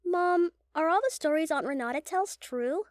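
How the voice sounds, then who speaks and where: A woman's voice: extremely high pitch, animated, normal speed, dry recording, studio quality. A woman playing a candid, imaginative young child in an audio drama, with an earnest, innocent manner. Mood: earnest, curious.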